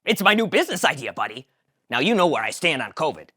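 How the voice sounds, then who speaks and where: A woman voicing an eccentric, excitable male neighbor who pitches schemes at speed. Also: husky, heavily acted. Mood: excited. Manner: rapid, excitable salesman pitch. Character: fast-talking eccentric man, scheming neighbor.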